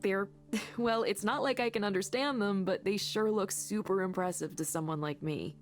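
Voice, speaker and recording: An actress playing an earnest, friendly young coworker in a visual novel game, talking in a casual, emphatic, encouraging way. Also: female voice, mid-range voice, normal speed, close to an everyday voice. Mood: encouraging, admiring. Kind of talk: video game voice acting. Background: faint music.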